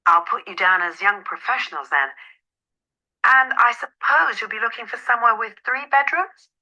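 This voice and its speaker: A woman's voice: fairly high pitch, lively intonation, normal speed, bright, forceful tone, lo-fi sound, full character voice. A woman playing a polite, helpful estate agent in an acted phone dialogue, businesslike and courteous.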